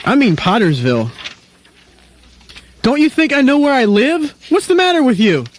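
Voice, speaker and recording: A man's voice: very high-pitched, big pitch swings, normal speed, slight background noise. A man playing a testy, incredulous townsman in a comic radio play, huffy and sure of himself. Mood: indignant.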